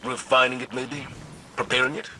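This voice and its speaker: An actor playing a composed, loyal male military adviser in a video game, speaking in a measured, formal and precise way. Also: mid-range voice, level tone, sharp tone, a little hiss or hum. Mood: focused, measured. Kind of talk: dramatic video game voice acting.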